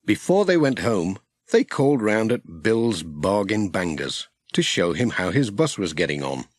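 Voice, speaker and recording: A man's voice: lowish voice, big pitch swings, a little slow, no room sound. A man with a British accent reading a children's story as a scripted audiobook narrator.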